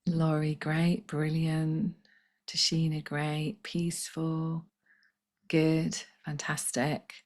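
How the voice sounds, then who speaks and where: Low, slightly croaky woman healer. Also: completely flat delivery, takes it slowly. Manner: soft, gentle, chatty, reads out viewers' comments. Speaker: spiritual healer hosting a live session. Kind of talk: casual live-stream talk.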